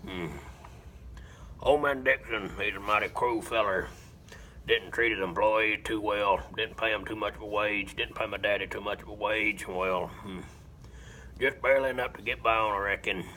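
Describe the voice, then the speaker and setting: Casting: simple rural Southern man for film-style monologue; lowish, hard-edged voice, deadpan, halting, grunting hums. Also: a little hiss or hum, low-quality recording. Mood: flat, reminiscing. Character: slow, simple-minded rural Southern man confessing killings flatly. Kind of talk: dramatic film monologue rendition.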